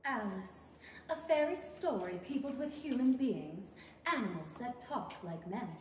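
An earnest, theatrical fairy-tale narrator played by a woman, fairly high and very expressive. She is heard from far off in an echoey hall. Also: a little slow, a little hiss or hum. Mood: earnest, reflective. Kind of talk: scripted radio play narration. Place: theatre stage.

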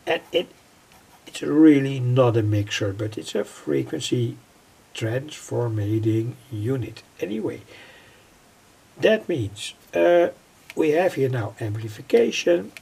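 An older man giving an unscripted technical tutorial in a halting, repetitive way, piecing phrases together. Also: medium pitch, expressive, a little mellow. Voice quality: husky, gravelly. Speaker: electronics hobbyist presenter. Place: indoors at a worktable.

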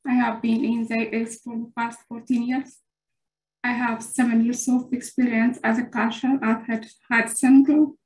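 A woman from Ethiopia reading a prepared capstone presentation over a video call with careful, steady delivery. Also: on the high side, moderate pace, roomy sound, poor audio. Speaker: bootcamp student presenting a capstone.

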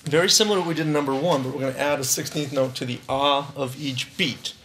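A man's voice: medium pitch, steady tone, fast, a bit bright, slight background noise. A man in his thirties teaching a live drum lesson on a studio stage, upbeat, counting rhythms aloud, and losing his voice.

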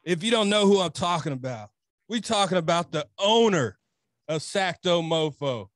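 A man's voice: sits high, moderate pace, no echo. A man, hosting a casual podcast from a studio. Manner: hyped, animated introductions. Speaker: podcast host. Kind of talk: casual chat. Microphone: studio podcast mic.

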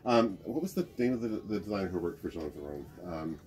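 A man curator chatting casually about clothes on a rack, often pausing to search for names, recorded by a camera in a museum basement storage room. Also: low-pitched, animated, crowd noise. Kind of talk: casual show-and-tell chat.

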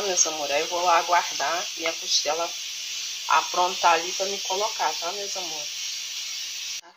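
A woman's voice: on the low side, heavy background noise. Brazilian woman with a cold, chatty. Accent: Brazilian Portuguese. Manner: homely, repeats "meus amores" and "tá" constantly. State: has a cold, congested voice. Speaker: home cooking vlogger. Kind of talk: casual home cooking and grocery haul vlog. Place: home kitchen, indoors. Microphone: phone camera held over food, speaker off screen.

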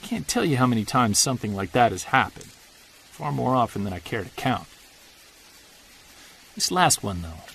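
An actor playing a weary middle-aged Bigfoot investigator, narrating an audio diary in an earnest, confessional way. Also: male voice, mid-range voice, very animated, moderate pace, creaky, long pauses, husky, heavily acted. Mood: troubled. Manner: confessional, earnest, quietly troubled. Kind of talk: scripted audio drama narration.

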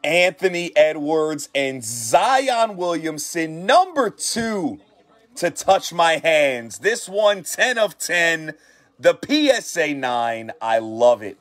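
A man hosting a card reveal from his shop, talking with excited hype and repeating his praise. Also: a little high, slow pace. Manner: hyped, repeats himself. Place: shop desk indoors.

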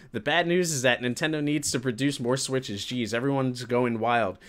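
A man, hosting a livestream and chatting casually with viewers in an animated, colloquial way. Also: medium pitch, moderate pace. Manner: animated, colloquial, reacting to chat. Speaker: livestream host. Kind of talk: casual chat with live viewers. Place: indoor room with green screen.

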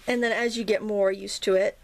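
Woman, watercolor teacher, casual tutorial chat, slightly hoarse, gravelly fry, ums and restarts. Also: medium pitch, fairly even intonation, a little fast, low-quality recording. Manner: chatty, self-interrupting, frequent ums and restarts. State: slightly hoarse, morning froggy throat. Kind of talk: casual painting tutorial narration. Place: indoors at a painting table.

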